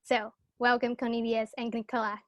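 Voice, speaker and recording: Bright-voiced young woman hosting, dry sound. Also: a little high, flat delivery, moderate pace, no echo, lo-fi sound. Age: young adult. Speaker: podcast co-host. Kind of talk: hosting a podcast interview. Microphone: wired earphone mic on video call.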